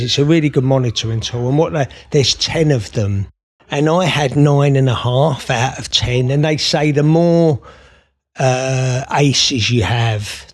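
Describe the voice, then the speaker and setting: Male podcast guest, mid-pitched, open and meandering, stop-start delivery. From Scotland. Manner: rambling, open, many fillers and restarts. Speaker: podcast guest, therapist and author. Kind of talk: conversational interview.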